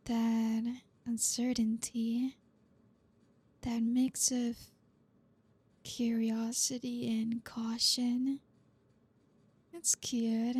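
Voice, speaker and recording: A woman's voice: on the high side, darkish tone, breathy edge, exaggerated voice. A woman playing a flirty, teasing, dominant boss in an ASMR roleplay, speaking breathily and often slipping into a whisper.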